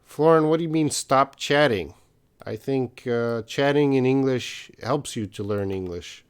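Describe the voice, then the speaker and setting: A man's voice: medium pitch, a little slow, clean tone. A man hosting a live-stream English Q&A, reading out viewer comments in a casual, hesitant way with many fillers. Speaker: host of English lessons.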